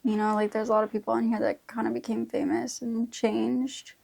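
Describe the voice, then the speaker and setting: A woman's voice: a little high, level tone, fairly quick, vocal fry, a little mellow. A woman in her mid twenties chatting casually through a makeup tutorial in informal, slangy speech. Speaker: beauty vlogger.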